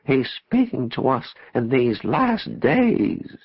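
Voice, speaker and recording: A man's voice: medium pitch, wildly swooping pitch, takes it slowly, dry recording, dull audio, pitched above the performer's own voice, exaggerated voice. A man voicing a robust, devout woman in her forties, ecstatic and prophetic, in an audiobook. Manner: ecstatic, breathy, prayerful proclaiming. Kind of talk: audiobook character voice.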